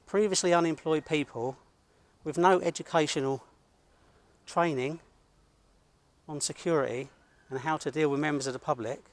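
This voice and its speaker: A middle-aged man hosting a vlog monologue, speaking in blunt, grumbling, deliberate phrases. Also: a little high, no room sound. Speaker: host of an online video channel.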